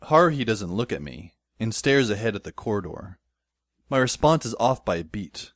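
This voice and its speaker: A man's voice: on the low side, sing-song, moderate pace, a bit bright, no room sound. A man playing a sardonic, exasperated teenage schoolboy who narrates and acts his own story in an amateur audio drama, wordy and dry. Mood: wry narration. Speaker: narrator and lead character. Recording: faint music behind the voice. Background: faint music.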